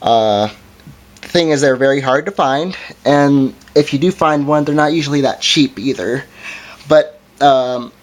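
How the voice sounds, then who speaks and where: A man's voice: medium pitch, unhurried. A man giving a casual hands-on product review as its presenter, in an informal, hesitant and somewhat apologetic way.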